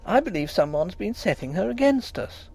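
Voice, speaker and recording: A man's voice: high-pitched, very animated, normal speed, vocal fry, a little mellow, slightly rough, voice pushed up, full character voice. A man voicing a young wife in a ghost story, tearful and fretful, pleading and complaining. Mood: tearful fretting.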